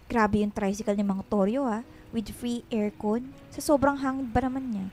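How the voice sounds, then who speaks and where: A woman acts out a young Filipina sidekick in a radio drama, with a very high voice. She is vain, loyal and blunt, full of teasing exclamations. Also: steady tone, moderate pace, mellow, faint music behind, lightly acted. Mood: teasing, sassy. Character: sassy, vain, fiercely loyal young woman best friend.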